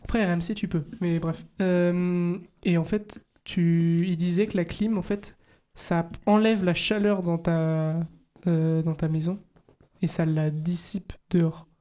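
A man's voice: high-pitched, flat delivery, a little slow, mellow. A teenage boy chatting casually, giving rambling, laid-back explanations. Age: late teens. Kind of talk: casual chat.

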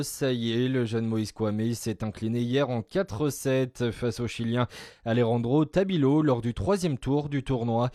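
A man presents a radio news bulletin in the French of France. His tone is soft and rounded rather than sharp, and the sound is close and dry, as in a studio. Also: mid-range voice, dry recording. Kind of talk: scripted news bulletin reading.

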